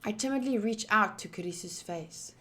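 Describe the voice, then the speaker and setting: A woman streaming a visual novel, reading it aloud deliberately with pauses and chatty asides. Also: mid-range voice, animated, a bit bright, slight background noise. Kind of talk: playthrough narration with chatty asides.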